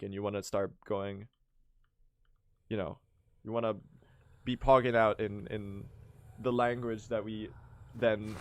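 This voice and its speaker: A man from Sweden, a native Swedish speaker, chatting casually with his viewers while he plays, rambling and correcting himself as he goes. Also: on the low side. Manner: rambling, self-correcting, chatty, mild swearing. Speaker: speedrunning streamer. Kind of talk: casual livestream chat while playing.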